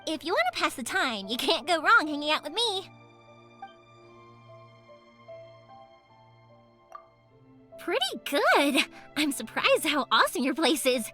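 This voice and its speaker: A woman voicing a cheerful, chatty young woman in a video game, with a girlish, expressive, earnest delivery. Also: high voice, normal speed, heavily acted. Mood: cheerful, friendly. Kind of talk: video game character voice lines. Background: background music.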